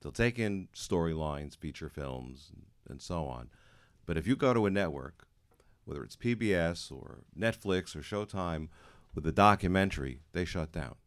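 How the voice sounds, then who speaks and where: A man in the audience asking the panel a long question, halting and full of fillers. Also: deep voice, lively intonation.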